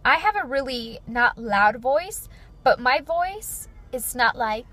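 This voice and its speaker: A woman in her twenties, talking earnestly and chattily to her viewers, with a fairly deep voice. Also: expressive, slow pace, sharp tone. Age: 20s. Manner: earnest, chatty, frequent "like" and "you know". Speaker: beauty vlogger. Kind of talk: personal reflective chat to camera.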